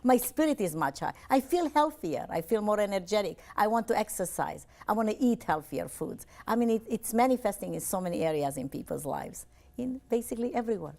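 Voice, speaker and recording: A woman's voice: mid-range voice, animated, fairly quick, gravelly, warm tone. A woman from Romania, a life coach giving an earnest, upbeat television interview and often repeating her phrases.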